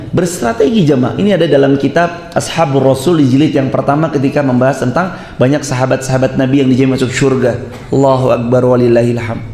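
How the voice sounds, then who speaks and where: A man's voice: medium pitch, a little mellow. Emphatic male preacher in echoey mosque. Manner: emphatic, questions to the congregation, quotes Arabic. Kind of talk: mosque sermon.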